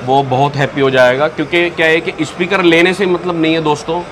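A middle-aged man presenting his company's products at a busy expo booth, earnest and salesy, pausing often with fillers. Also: a little high, fairly even intonation, noisy background. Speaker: company representative. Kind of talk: product explanation in interview.

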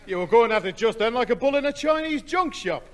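A man's voice: extremely high pitch, animated, a little fast, fairly bright tone. A man playing a daft, chatty neighbour in a 1960s radio comedy. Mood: jovial, chatty. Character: daft, chatty neighbour muddling his words. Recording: old radio recording with light hiss.

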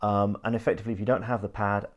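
A man's voice: lowish voice, level tone, racing. A man in his thirties talking casually to camera in a living room, explaining things in a geeky, thorough, hesitant way. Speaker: host of a video channel. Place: living room indoors, on a sofa.

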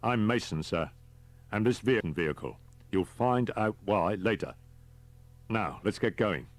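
A man voicing a brisk, deferential government driver, chatty and matter-of-fact, as audiobook dialogue. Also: lowish voice, lively intonation, no room sound, voice pushed up. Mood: urgent politeness.

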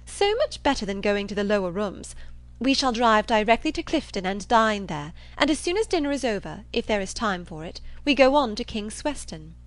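A woman's voice: medium pitch, very animated, moderate pace, no room sound, natural voice. A woman voicing a gushing, theatrical young lady who is effusive and pushy, in a storybook reading. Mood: ecstatic. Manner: effusive, exaggerated endearments.

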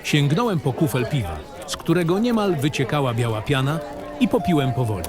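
A man's voice: on the high side, animated, fairly quick, over music, crowd noise. A man giving a grave, dramatic first-person narration as a war-weary, cynical baron in a fantasy audio drama. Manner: grave, literary storytelling.

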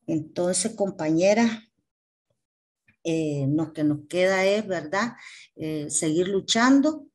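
A middle-aged woman giving a halting, informal political speech on a video call, often adding tag questions. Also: fairly low pitch, expressive, darkish tone. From Nicaragua. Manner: hesitant, with frequent tag questions. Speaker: activist speaker at a meeting. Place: small indoor office, on a video call.

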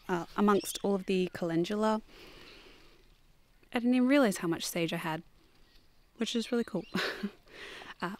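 A woman's voice: medium pitch, slight background noise. Chatty woman outdoors in a garden. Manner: chatty, with fillers and restarts, occasional soft asides. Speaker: vlogger giving a garden tour. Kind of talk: casual walking garden tour.

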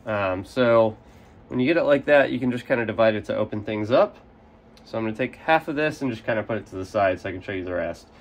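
A man's voice: fairly low pitch, fast talker, slight background noise. Casting: young man, a bit nasal from a cold, easygoing how-to explainer, outdoor recording. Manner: relaxed, explanatory, casual with fillers. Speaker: carnivorous plant grower. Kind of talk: hands-on gardening demonstration. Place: outdoors in sunlight at a potting table. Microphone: camera overhead, speaker off frame.

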